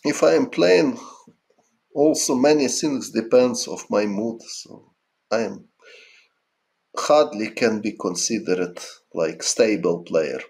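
A middle-aged man from Ukraine with a Ukrainian accent, chatting casually on a chess stream in halting English with many fillers. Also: on the low side, gravelly, darkish tone. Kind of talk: casual livestream chat answering viewers.

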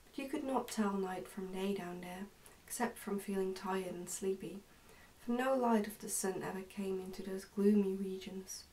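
A young woman reads a storybook aloud in a soft, hushed, careful ASMR style. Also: mid-range voice, normal speed, far from the mic, slight background noise, poor audio. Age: young adult. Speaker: ASMR narrator reading a book aloud. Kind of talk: ASMR storybook reading, soft-spoken and whispery. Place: indoors, small plain room.

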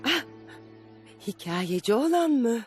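A woman's voice: on the high side, sing-song, slow pace, faint music behind. A woman playing a curious, willful duchess in a dubbed cartoon, coaxing and imperious. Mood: intrigued, admiring. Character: curious, willful duchess eager for travellers' tales.

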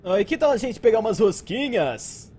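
A man's voice: high-pitched, very animated, normal speed, warm tone, a little hiss or hum. A man with a Brazilian accent voicing an easygoing, concerned police partner in a cartoon. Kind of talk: cartoon dub.

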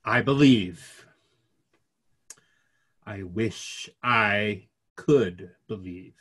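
A middle-aged man playing a gentle, reasonable clergyman in a stage play reading, measured and conciliatory. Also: fairly low pitch, slightly rough, full character voice. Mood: troubled. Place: room at home, video call.

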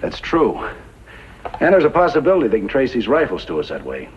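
An actor playing a greedy, cocky gunrunning boss in a 1950s radio western, with a folksy, self-assured delivery. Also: male voice, mid-range voice, wildly swooping pitch, fairly quick, slightly rough, exaggerated voice. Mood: cool, scornful. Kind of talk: 1950s radio drama acting. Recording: muffled, hissy old radio recording.

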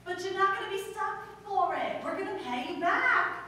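A woman's voice: very high-pitched, normal speed. A woman playing a chirpy, eager secretary in an old-time radio comedy performed on stage. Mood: defensive. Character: chirpy, eager young female secretary and friend. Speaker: actor in a staged radio play. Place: stage in a hall before an audience. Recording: distant, echoey hall with background noise.

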